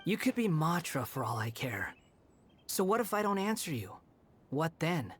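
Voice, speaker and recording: A man's voice: on the high side, very animated, moderate pace, slight background noise. A man voicing an aloof, sardonic young male wanderer in a video game, with a snide, dismissive delivery. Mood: taunting. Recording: music behind the voice.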